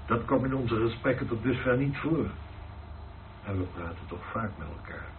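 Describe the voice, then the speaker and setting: A man acting a probing senior churchman in a Dutch radio play, speaking in a measured, solemn, questioning way. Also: mid-range voice, lively intonation, lightly acted. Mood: challenging. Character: probing senior churchman, a priest's spiritual mentor. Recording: noisy, narrow phone-like old radio recording.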